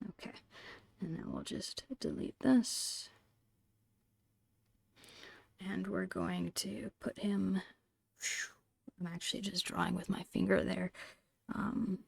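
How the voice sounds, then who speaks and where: A woman softly narrating her digital art in an ASMR style, murmuring in short, breathy phrases close to the microphone. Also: medium pitch, expressive, slow pace, long pauses, dry recording. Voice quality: breathy, whispery. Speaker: digital artist hosting an art channel.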